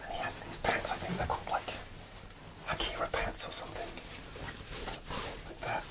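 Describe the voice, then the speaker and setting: A man telling a story in a soft, rambling ASMR whisper with many pauses and hesitations, recorded close to the microphone. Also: low-quality recording. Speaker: ASMR creator.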